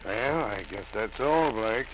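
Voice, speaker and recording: An actor playing a stern, probing police captain with an authoritative manner, in 1940s radio drama style. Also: male voice, fairly low pitch, animated, slow. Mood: puzzled. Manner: authoritative, questioning. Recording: muffled, faintly noisy vintage radio recording.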